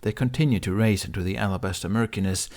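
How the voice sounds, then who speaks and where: A man's voice: fairly low pitch, fast talker, a little mellow. A man narrating a fantasy adventure audiobook in a dramatic, scripted storytelling style.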